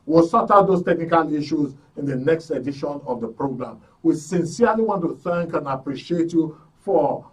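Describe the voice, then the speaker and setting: A man with a Nigerian accent hosting a live radio talk show, speaking effusively in a halting, repetitive way. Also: a little high, animated, recorded from a distance. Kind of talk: live broadcast sign-off, thanking guests.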